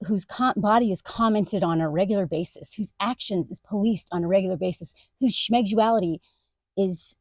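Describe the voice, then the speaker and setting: A woman content creator ranting straight to camera, emphatic, her voice jumping up high on indignant questions. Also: darkish tone, no echo. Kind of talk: direct-to-camera rant.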